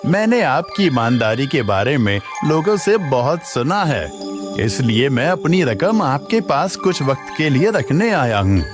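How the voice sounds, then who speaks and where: Man acting humble, animated village farmhand. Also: medium pitch, a little slow. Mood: deferential. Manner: polite, deferential. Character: humble, honest, hardworking male village farmhand. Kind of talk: Hindi cartoon moral-story acting. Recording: music behind the voice.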